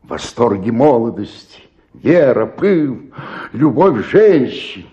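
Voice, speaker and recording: Despairing old stage player, wildly swinging pitch. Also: male voice, sing-song, slow pace, mellow, dull audio. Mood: anguished nostalgia. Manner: declamatory, swinging between outburst and murmur. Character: despairing old male provincial actor, self-pitying, theatrical, nostalgic. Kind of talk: radio play dramatic monologue.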